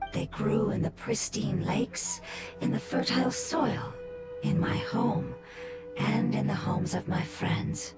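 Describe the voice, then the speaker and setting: Soft formal little plant spirit. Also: female voice, a little high. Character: small gentle plant spirit, formal and tender. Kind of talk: video game dialogue. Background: game music.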